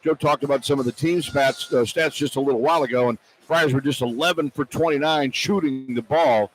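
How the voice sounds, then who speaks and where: A man's voice: mid-range voice, a little fast, slight background noise, low-quality recording. A man doing live play-by-play radio commentary at a basketball game, recapping as an announcer on an arena broadcast.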